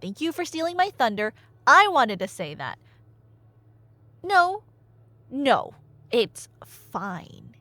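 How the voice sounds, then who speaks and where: Nerdy gf type woman rambling a story, kinda high voice, super up and down, slow paced, playful bickering asides, dry sound. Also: no room sound. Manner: animated, nerdy, playful asides and mock-indignant bickering with an unheard listener. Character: chatty nerdy girlfriend excitedly retelling Greek myths. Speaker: audio roleplay performer playing a girlfriend retelling a myth. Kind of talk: casual rambling storytelling in a one-sided audio roleplay.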